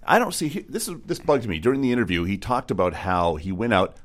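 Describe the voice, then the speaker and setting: A man co-hosting a morning radio show, bantering in a casual, joking way. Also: fairly low pitch. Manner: joking, lively, quick asides.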